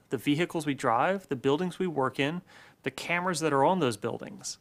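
A man in his forties giving a scripted keynote presentation in a measured, deliberate way, recorded in a studio on a lapel mic. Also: on the high side, a bit bright.

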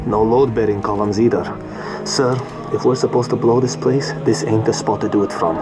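A man's voice: on the low side, steady tone, normal speed, natural voice. A man playing a blunt, skeptical soldier in a military video game, matter-of-fact and colloquial. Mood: wry. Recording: game soundtrack music behind.

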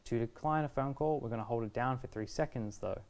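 A young man presents a how-to guide in a casual voice. He explains each step in an easygoing, reassuring manner, at a medium pitch. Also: brisk, darkish tone. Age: 20s. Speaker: product reviewer and presenter. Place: indoor shop video studio, standing at a table.

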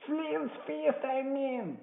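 A man voicing a furious blind pirate beggar in an adventure storybook, shouting impatient orders. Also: very high voice, drawn-out words, gravelly, reverberant, narrow, tinny audio, voice pushed up, full character voice. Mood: impatient rage. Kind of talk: dramatized adventure storybook reading.

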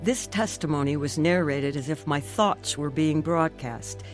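Devout grateful lady narrating her testimony on a radio drama, earnest and measured, bit of music behind. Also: sits low. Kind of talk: scripted radio drama first-person narration.

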